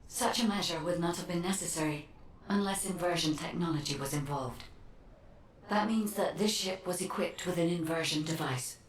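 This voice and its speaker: An actress playing a calm, analytical guide in a video game, explaining in a measured way. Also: female voice, fairly low pitch, slight background noise, close to an everyday voice. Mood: calm, serious. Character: calm, analytical female guide advising a hero. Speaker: intelligence guide. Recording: echoey, distant, faint background ambience.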